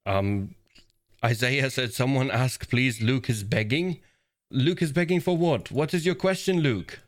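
A man co-hosting a community podcast, talking casually in a deep, commanding voice with a relaxed, playful way of cutting in. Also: a little slow. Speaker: podcast co-host.

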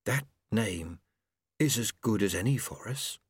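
A man voicing a faint, disembodied young man who speaks slowly and solemnly, weak as a breath. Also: medium pitch, lively intonation, breathy edge, no echo, studio quality, voice pushed up. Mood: hushed. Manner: slow, hushed, solemn.

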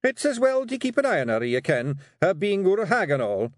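Flustered Scottish fairy chieftain, high-pitched. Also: male voice, brisk, dry recording, clean studio sound. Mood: flustered, pleading. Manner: exclamatory, excitable, faltering under pressure. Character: blustering, henpecked little fairy clan chief, easily flustered. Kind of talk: audiobook character voice.

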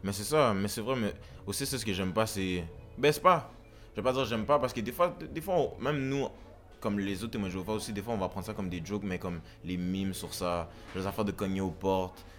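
Fast-talking deep-voiced young man. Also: fairly bright tone, soft background music. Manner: rapid, repeats himself, slangy. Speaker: guest, rapper. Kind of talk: casual podcast chat.

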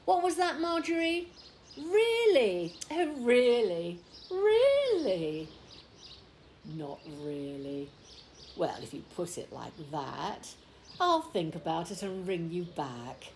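Fussy village wife, British accent, swooping pitch, smug and indignant, radio play, noticeable background noise with birdsong. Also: female voice, wildly swooping pitch, takes it slowly, darkish tone, full character voice. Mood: smug relish. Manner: theatrical, exaggeratedly polite on the phone.

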